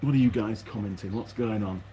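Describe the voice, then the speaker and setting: A man's voice: on the low side, a little fast, background noise. A man chatting casually and unscripted to his viewers as a mechanic vlogger, rambling along and thinking aloud in his workshop.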